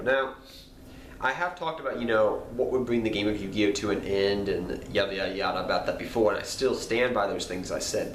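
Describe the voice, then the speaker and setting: A man with a cold, sounding nasal, talking casually and rambling to his channel viewers. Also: on the low side. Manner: rambling, repeats himself, apologetic. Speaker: card game channel host. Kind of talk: casual talk to camera. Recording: echoey room, faint background noise.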